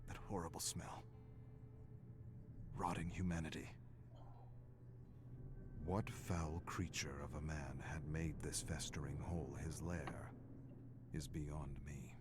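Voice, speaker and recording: An actor playing a grave, weary scholarly archivist with a morbid fascination, narrating dark game records in a deliberate, brooding way. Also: male voice, very deep voice, fairly even intonation, background noise. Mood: strained, grave. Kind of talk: dramatic scripted game narration. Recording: clear music behind the voice.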